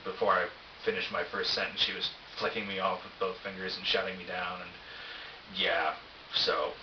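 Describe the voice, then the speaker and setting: Middle aged guy rambling to a cheap camera, deep voice, hesitant n wry, kinda far off and echoey. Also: flat delivery, moderate pace. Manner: hesitant, self-deprecating, wry. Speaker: vlogger. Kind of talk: casual rambling convention recap. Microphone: cheap camera, some distance away. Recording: roomy echo, dull cheap camera mic, hiss.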